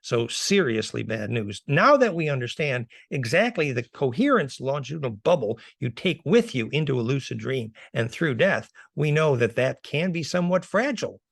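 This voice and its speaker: Male guest lecturer, gravelly creak, heavy on technical jargon, dry close sound. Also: medium pitch, dry recording. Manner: expounding in dense technical jargon. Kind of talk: spoken lecture in a discussion.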